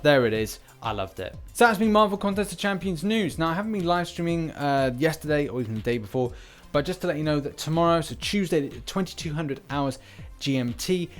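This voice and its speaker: Male, mid-range voice. Casual gaming news host, conversational and a bit rambling, over background music. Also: mid-range voice. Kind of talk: casual mobile game news commentary.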